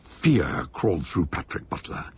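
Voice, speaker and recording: A man's voice: low-pitched, big pitch swings, measured pace, darkish tone, slightly rough. A man with a British accent reading dramatic scripted narration for a radio drama. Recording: muffled lo-fi audio with faint noise.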